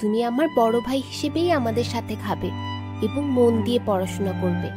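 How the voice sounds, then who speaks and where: Woman voicing a high, sweet little schoolgirl. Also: moderate pace, music in the background, close to an everyday voice. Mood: consoling. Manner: sweet, earnest, questioning. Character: caring, earnest little schoolgirl cousin. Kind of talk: children's cartoon voice acting.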